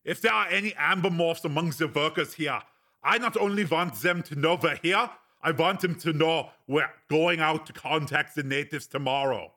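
Man as a forceful, formal commanding investigator. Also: a little high, normal speed, voice pushed up. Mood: cool, resolute. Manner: formal, precise, authoritative. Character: cool, commanding male investigator, formally polite and unflappable. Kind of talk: audiobook character acting.

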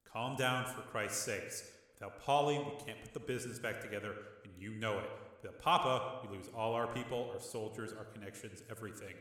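A calm, pragmatic mobster , as a male narrator plays him, speaking low and quietly. The level tone sits in an echoey hall with a long reverb. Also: brisk, natural voice.

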